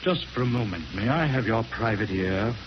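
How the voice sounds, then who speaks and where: Actor as pompous colonel, animated delivery. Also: male voice, on the low side, normal speed, lightly acted. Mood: formal. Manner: formal, pompous. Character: pompous, menacing rich colonel and pamphleteer. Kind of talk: 1940s radio drama acting. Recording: muffled, hissy 1940s radio recording.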